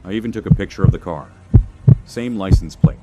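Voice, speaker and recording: A man narrating a scripted first-person horror story in a measured, suspenseful manner. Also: deep voice, heavy background noise.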